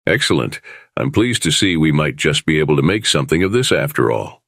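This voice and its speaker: A man playing a calm, diplomatic starship captain in an audio drama, speaking in a measured, reasonable way. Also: extremely low pitch, creaky, no echo, clean studio sound, full character voice. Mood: reassuring.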